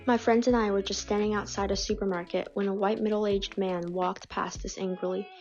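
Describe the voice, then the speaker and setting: A woman reads personal accounts aloud in a calm, measured voice. Her pitch sits in the middle, and the recording is dull and lo-fi. Faint music plays underneath. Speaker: narrator reading submitted stories. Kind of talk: scripted reading.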